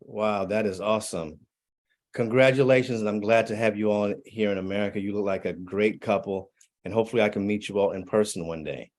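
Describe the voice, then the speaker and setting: A man's voice: fairly low pitch, steady tone, a little fast. A man hosting a conversational interview, asking questions in an encouraging way. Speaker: interviewer, host.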